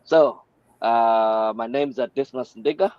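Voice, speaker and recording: Man coach, average pitch, keen but halting, on dull, muffled call audio. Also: smooth, no room sound. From Kenya. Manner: enthusiastic, hesitant with many uhs. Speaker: business coach. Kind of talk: casual introduction on a live stream.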